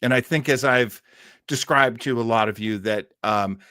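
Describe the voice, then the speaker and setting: A man's voice: mid-range voice, moderate pace, sharp tone, smooth, dry recording, clean studio sound. A middle-aged man hosting an old-time radio livestream in a casual, chatty, rambling way, effusive and self-deprecating.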